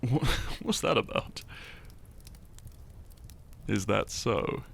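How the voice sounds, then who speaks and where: Male actor as shy lovestruck hero: very creaky low voice, gentle, intimate, sleepy, romantic audio drama. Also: expressive, slight background noise, heavily acted. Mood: teasing, tender. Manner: slow, gentle, intimate, halting. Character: shy, tender, sleepy young hero in love.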